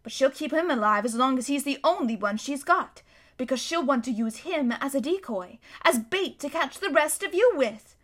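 A shrewd, motherly beaver wife, put on by a woman, calmly reasoning through a threat. Her voice is raised high and bright, and she talks in brisk, practical sentences.

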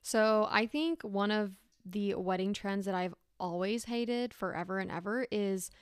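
A young woman co-hosting a relaxed chat show, teasing and playful. She gets emphatic when she makes her point. Her voice sits in the middle range, recorded close and dry. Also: medium pitch, a little slow, clear, resonant tone, no room sound. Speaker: podcast co-host. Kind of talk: casual chat.

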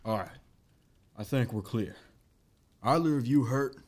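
A man's voice: mid-range voice, a little slow, a little mellow, close to an everyday voice. Male actor as wary Western protector, mid-range voice, curt and authoritative, audio drama. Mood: stern, guarded. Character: stern, practical Wild West man, wary protector. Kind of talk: amateur audio drama acting.

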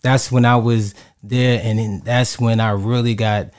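A man from Barbados co-hosting a podcast, talking casually and haltingly, often repeating words as he restarts his sentences. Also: mid-range voice, little pitch movement, a little mellow, smooth. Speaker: podcast co-host. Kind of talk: casual chat.

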